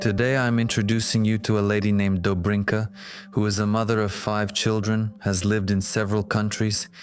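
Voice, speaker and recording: A man hosting a podcast, giving a scripted introduction and asking interview questions in a measured, solemn way. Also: on the low side, faint music behind. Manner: measured, solemn, even delivery. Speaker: podcast host.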